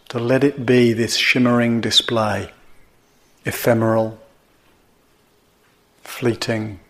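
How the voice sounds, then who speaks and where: A man teaching meditation, guiding gently and in a measured way, with pauses between short phrases. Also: medium pitch. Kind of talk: guided meditation.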